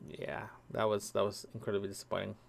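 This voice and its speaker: A man's voice: on the low side, monotone, quick pace, vocal fry. A young man from Toronto, Canada, recording a casual audio diary into a microphone, rambling with many ums, restarts and repeated words. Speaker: podcast host. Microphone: single microphone.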